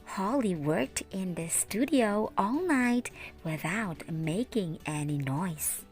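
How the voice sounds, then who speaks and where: A woman teacher narrating a children's storybook aloud in a deliberate, expressive way. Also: mid-range voice, soft background music. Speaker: teacher reading stories aloud.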